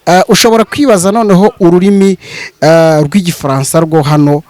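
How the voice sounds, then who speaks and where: A man's voice: high voice, fairly even intonation, fairly quick, darkish tone, dry recording. A male journalist presenting a report and introducing an interviewee. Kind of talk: TV report narration.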